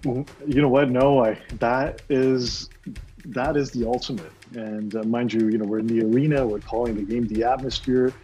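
A man's voice: mid-range voice, steady tone, moderate pace, dark tone, faint music behind. A middle-aged man from Toronto, a sports broadcaster, chatting animatedly in an interview, laughing often and slipping into Punjabi. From Toronto, Canada. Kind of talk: casual video call interview.

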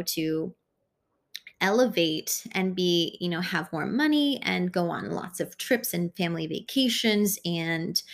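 A woman with a somewhat low voice talks through an unscripted solo podcast. Hungarian is her first language, and she rambles in an easy, spiritual way, full of fillers and asides. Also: a bit bright. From born in Italy, raised in the United States. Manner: rambling, spiritual, self-aware with laughter. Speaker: podcast host.

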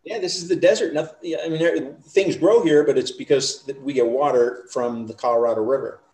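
A man's voice: medium pitch, fairly even intonation, brisk, darkish tone. Easygoing middle-aged man, roomy sound. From United States. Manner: easygoing, chatty storytelling. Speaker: interview guest. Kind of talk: casual chat.

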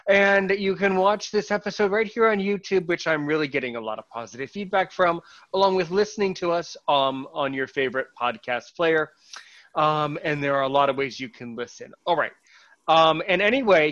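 A man's voice: on the high side, fairly bright tone, lo-fi sound. A man hosting a podcast in a casual, rambling way, with fillers and restarts. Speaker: podcast host.

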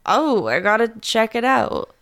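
Giggly young woman with a gravelly edge. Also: a little high, big pitch swings, a little slow, fairly bright tone. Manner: giggly, reads show intro plainly. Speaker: podcast co-host. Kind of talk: casual podcast chat.

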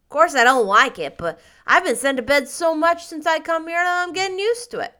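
A boisterous little boy in a storybook, raising his voice to argue his case with stubborn, injured certainty. His tone is bright and a little high, a woman putting him on as she reads. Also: lively intonation, normal speed. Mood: aggrieved. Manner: loud, indignant, argumentative.

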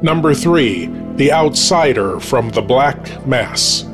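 A man hosting a show, reading scripted countdown narration in a measured, deliberate announcing style. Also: mid-range voice, expressive. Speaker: podcast host. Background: music.

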